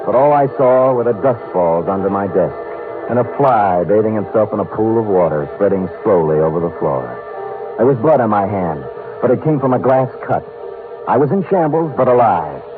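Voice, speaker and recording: Man as a lively, wisecracking radio private eye. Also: lowish voice, moderate pace, over music, lightly acted. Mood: wry narration. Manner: wry, crisp, hard-boiled. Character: wisecracking, unflappable male private detective narrator. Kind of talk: 1950s radio detective acting and narration. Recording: narrow-band old radio broadcast.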